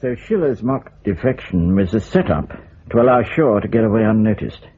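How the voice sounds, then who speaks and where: An actor playing a dry, probing senior official questioning matters in a radio spy drama. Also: male voice, lowish voice, animated, moderate pace, slightly rough, phone-quality sound. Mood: sceptical, probing. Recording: thin, band-limited radio-like sound.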